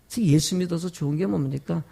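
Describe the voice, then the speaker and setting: High-pitched, gravelly man preaching. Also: mellow. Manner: rhetorical questions put to the congregation; emphatic, with drawn-out stressed phrases. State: sore throat from a cold. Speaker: pastor preaching to his congregation. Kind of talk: sermon.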